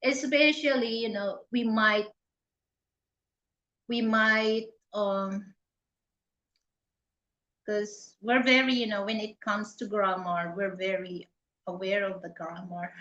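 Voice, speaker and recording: Filipina woman, Filipino accent, slow halting speech, echoey dull call audio. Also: medium pitch, steady tone, fairly bright tone. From Philippines. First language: Hiligaynon. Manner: hesitant, searching for words, many fillers. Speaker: interview guest. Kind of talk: casual conversational interview. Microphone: video call. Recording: roomy, dull lo-fi sound.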